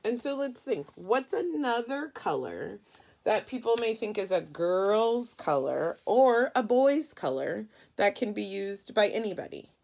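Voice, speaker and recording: A woman's voice: medium pitch, sing-song, a little slow, creaky, darkish tone. A woman teacher reading a picture book aloud to children in an instructive, questioning way. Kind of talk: children's read-aloud lesson.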